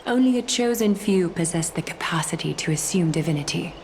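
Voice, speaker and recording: A grave, ancient female leader in a fantasy game. Her low voice is formal and measured, solemn in temper, with faint music behind her. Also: lively intonation, darkish tone, a little hiss or hum. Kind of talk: scripted video game acting.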